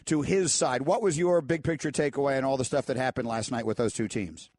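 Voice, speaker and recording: A man hosting a sports talk radio show in a studio, upbeat and brisk, often restarting his sentences. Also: medium pitch, darkish tone, no room sound. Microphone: studio microphone.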